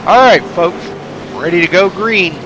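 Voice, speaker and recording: A stuffed-up man calling a race live, pitched a little high and excitable in a folksy way. His voice comes through noisy broadcast audio with a clear hiss behind it. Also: moderate pace. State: stuffed up from allergies. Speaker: race commentator. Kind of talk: live race play-by-play commentary.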